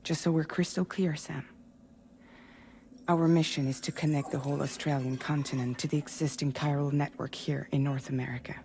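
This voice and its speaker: Game role: calm, supportive female team leader, very low mellow voice, dry humour. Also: normal speed, a little hiss or hum. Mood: calm, businesslike. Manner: calm, matter-of-fact. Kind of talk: video game cutscene acting.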